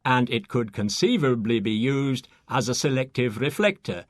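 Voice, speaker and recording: An actor playing a measured, precise radio astronomer reporting technical results in a satirical audiobook story. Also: male voice, medium pitch, animated, no echo, clean studio sound, close to an everyday voice. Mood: measured, assured.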